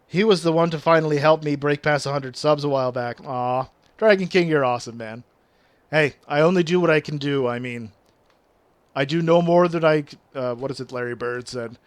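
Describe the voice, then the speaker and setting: A man's voice: a little high, normal speed, clear, resonant tone, no echo. A man with a regional French accent, a native French speaker, chatting casually with viewers on a game stream and reading their messages aloud. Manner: chatty, reads chat aloud, uses big words.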